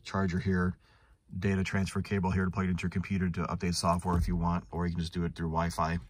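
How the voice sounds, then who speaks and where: A man with a low-pitched voice walks through a tool demonstration off the cuff. He sounds like a working technician, chatting conversationally and thinking aloud as he goes. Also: completely flat delivery, fast talker, husky. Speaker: automotive technician reviewing a scan tool. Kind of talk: casual unscripted product demonstration. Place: inside a parked car in a shop.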